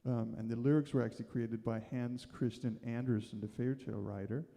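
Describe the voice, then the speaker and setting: A man's voice: mid-range voice, steady tone, a little fast, gravelly, dark tone, big-room sound. A man in his forties from Denmark, a bandleader joking and chatting loosely with fillers between songs at a small live music venue. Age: 40s. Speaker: bandleader and violinist. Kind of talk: casual stage banter between songs.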